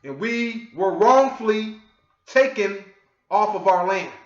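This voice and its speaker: A man from the United States lecturing his viewers in a scolding, forceful way and often asking "okay?". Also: high voice, lively intonation, slow pace. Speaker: online commentator. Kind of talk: lecturing monologue. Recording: echoey, dull lo-fi audio.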